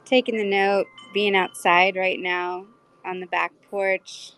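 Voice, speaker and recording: Slow-talking, easygoing woman. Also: mid-range voice, level tone, fairly bright tone, low-quality recording. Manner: unhurried, easygoing. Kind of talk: casual chat. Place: outdoors on a back porch. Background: wind chimes.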